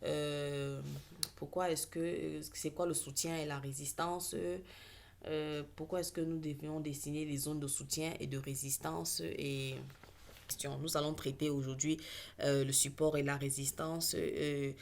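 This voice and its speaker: Low-voiced woman teaching, English native. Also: fairly even intonation, a little slow, darkish tone. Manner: hesitant, many fillers and self-corrections. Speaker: trading teacher. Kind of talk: casual online lesson.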